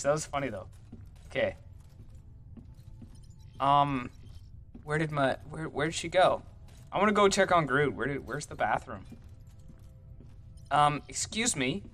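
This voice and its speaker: A man's voice: on the high side, sing-song, moderate pace, long pauses, fairly bright tone, soft background music. A young man doing goofy, rambling let's-play commentary at home, joking while he plays. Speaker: gaming streamer.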